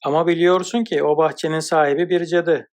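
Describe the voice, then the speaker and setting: A man's voice: a little high, steady tone, brisk, lightly acted. A man playing a timid, apologetic woodcutter husband in a cartoon fairy tale, hesitant and polite. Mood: worried.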